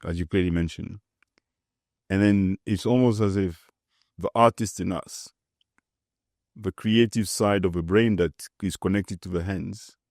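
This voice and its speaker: A middle-aged man from the Ivory Coast hosting an interview and asking calm, probing questions. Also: deep voice, no echo, studio quality. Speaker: podcast host. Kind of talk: interview conversation.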